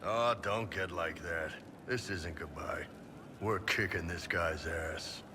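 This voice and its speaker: A man playing a big, gruff, loyal mercenary warrior in a video game, talking bluntly with casual confidence. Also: sits low, slow pace, long reverb tail, background noise. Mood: cocky, reassuring. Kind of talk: video game character dialogue.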